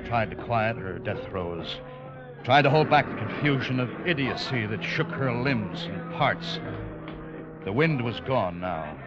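An actor playing a grave, weary ship's officer in a vintage radio adventure drama, with dramatic, deliberate delivery. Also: male voice, medium pitch, natural voice. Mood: haunted, weary. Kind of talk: 1940s-50s radio adventure drama acting. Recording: dull vintage radio recording, music behind.

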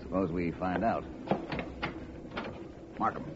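A smooth wry detective, low voice with lots of swing, old radio mystery, heavy noise behind. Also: male voice, slow pace. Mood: cool, dry. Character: urbane, unruffled male private investigator, quietly wry. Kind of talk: 1950s radio detective drama acting. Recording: old muffled radio recording, loud background noise.

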